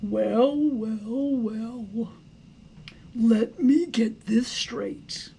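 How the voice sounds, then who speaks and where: A woman voicing a proud, learned father worm from a storybook, pompous, deliberate and mock-patient. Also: a little high, creaky, mellow, slight background noise, voice pushed down, heavily acted. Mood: mock-patient, scolding.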